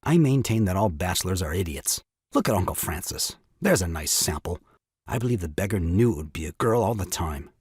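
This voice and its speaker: Guy acting a melodramatic put-upon dad in an old farce, pompous wordy rants, bit husky, dry close sound. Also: sits low, sing-song, brisk, a little mellow, no echo, heavily acted. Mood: exasperated ranting. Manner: grandiloquent, melodramatic. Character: exasperated, self-pitying new father, pompous Englishman. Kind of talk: theatrical audio drama farce acting.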